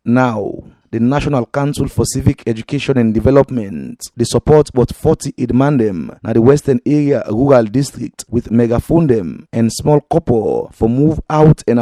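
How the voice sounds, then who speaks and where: A man with a Sierra Leonean Krio accent narrating a news report in a steady, formal read. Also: mid-range voice, dry recording.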